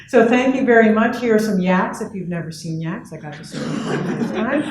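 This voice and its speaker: A woman's voice: lowish voice, darkish tone. A woman giving a keynote talk at an academic conference, speaking earnestly, with fillers between points. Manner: earnest, thoughtful, with frequent fillers. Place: lecture hall. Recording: echoey lecture hall, faint noise.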